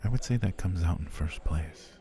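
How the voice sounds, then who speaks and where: A man voicing a formal, flattering male potion seller on a dinner date in an ASMR roleplay, slipping into soft whispers. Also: low voice, normal speed. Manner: formal, courtly, flattering speech.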